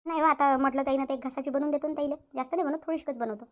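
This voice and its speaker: Woman acting a rapid-talking, resigned village sister-in-law. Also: sits high, steady tone, highs cut off, close to an everyday voice. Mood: dutiful, accommodating. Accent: rural Maharashtra, Varhadi Marathi. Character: harried elder village sister-in-law, resigned, dutiful, accommodating. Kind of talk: domestic cartoon story dialogue.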